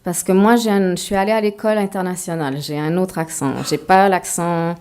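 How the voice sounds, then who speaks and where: A woman's voice: fairly low pitch, steady tone, fairly quick, a little mellow, clean tone. A woman author giving a talk to an audience, mixing a prepared lecture with playful, self-mocking asides.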